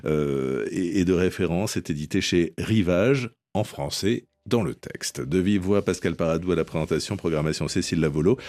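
A man hosts a cultural radio programme, speaking with a very low voice. His tone is mellow and dark, and he phrases his questions and credits in a measured, polished presenter manner. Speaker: radio host.

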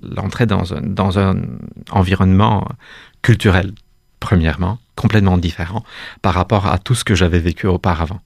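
A man, a guest in a radio interview, speaking hesitantly with many restarts and soft laughs. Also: sits low, steady tone, moderate pace, vocal fry, no echo, clean studio sound. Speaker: guest, cultural institute staff.

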